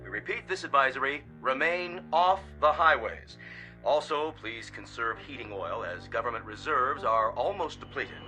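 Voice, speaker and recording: A man's voice: mid-range voice, lively intonation, normal speed, bright, forceful tone, faint music behind. A man with an American accent playing an urgent, official emergency announcer reading advisories in a radio drama.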